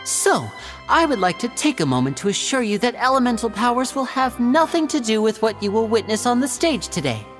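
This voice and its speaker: Young male showman: lightly high, charming, theatrical, polite game voice over faint music. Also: big pitch swings, brisk. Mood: theatrical showmanship. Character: polished, charming young male stage magician. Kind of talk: video game character acting.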